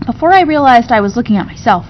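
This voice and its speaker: A woman reading a classic novel aloud in an expressive storybook narration style. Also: quick pace. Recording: dull recording with low rumbling noise.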